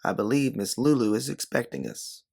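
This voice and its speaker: A man voicing a quick-witted, companionable woman adventurer in a narrated story. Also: medium pitch, very animated, creaky, a little mellow, pitched above the performer's own voice. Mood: polite, bluffing.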